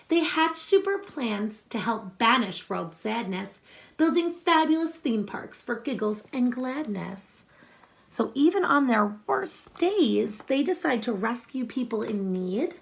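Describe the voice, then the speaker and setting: A woman teacher reading a picture book aloud to children, expressive and instructive, pausing to explain and ask questions. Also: on the high side, gravelly. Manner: deliberate, instructive, addressing young listeners with questions.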